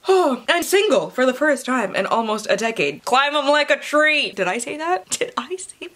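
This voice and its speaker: A woman's voice: high voice, very animated, normal speed, a bit bright. A young woman book vlogger, chatting casually and reading book blurbs dramatically, with playful, theatrical delivery and sarcastic asides. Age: young adult. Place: small room at home lined with bookshelves.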